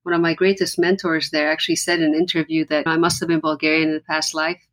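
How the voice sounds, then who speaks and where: A woman's voice: low-pitched, flat delivery, fast. A woman from Lebanon, a musician guest in an interview, talking in an earnest, reflective way with frequent restarts. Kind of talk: conversational interview.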